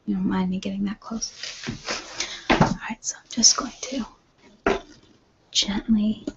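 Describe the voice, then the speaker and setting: A woman voicing a gentle retreat healer in a whispered ASMR roleplay, soft and reassuring. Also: medium pitch, fairly even intonation, unhurried, creaky, highs cut off, noisy background. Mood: soothing, hushed. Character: gentle, soothing female retreat healer attending a client.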